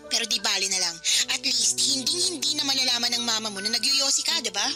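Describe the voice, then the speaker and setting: Woman doing sly filipino mean girl teen, high voice, breezy n slangy, radio drama with music behind. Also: expressive, fairly quick, close to an everyday voice. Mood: scheming, dismissive. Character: sly, flippant teenage girl, scheming friend. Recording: music playing under the voice.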